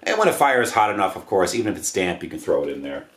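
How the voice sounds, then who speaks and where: A man's voice: deep voice, sing-song, very fast, over music. An American man, around his forties, giving a casual hands-on demonstration in an offhand, slangy, rambling way. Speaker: DIY homesteading presenter. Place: indoors, living room by wood stove. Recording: roomy echo, noise of handled objects.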